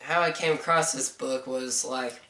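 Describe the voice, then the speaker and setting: A young man with a hoarse, stuffy voice giving a rambling, hesitant book review, full of fillers like "uh" and "so.". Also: medium pitch, unhurried, pushed and bright. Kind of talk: casual video review. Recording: roomy, mic at a distance, faint noise.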